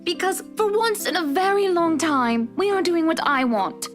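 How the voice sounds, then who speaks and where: A woman's voice: very high voice, expressive, normal speed, faint music behind, pitched above the performer's own voice. A woman voicing a bossy, exasperated young wife from a visual novel, haughty and scolding. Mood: stern, triumphant. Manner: commanding, clipped scolding.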